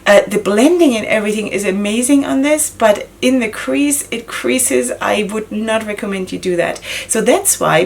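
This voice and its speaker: Woman, 30s, beauty vlogger; raspy and gravelly, hoarse from a cold, chatty tutorial delivery, close to the camera in a small room. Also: medium pitch. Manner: chatty, self-questioning, laughs often. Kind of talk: casual makeup tutorial talk.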